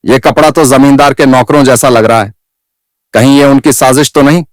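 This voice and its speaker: A man as young villager, mid-pitched, earnest, observant, cartoon story, close dry sound. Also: steady tone, moderate pace, no room sound, natural voice. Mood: suspicious. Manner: earnest, reasoning. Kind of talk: Hindi animated moral story dialogue.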